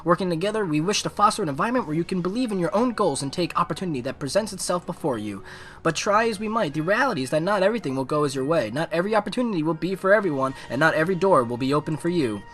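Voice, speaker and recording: A man voicing a poised teenage student council president, reading a formal, measured speech from a visual novel. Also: a little high, animated, a bit bright. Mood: formal, earnest.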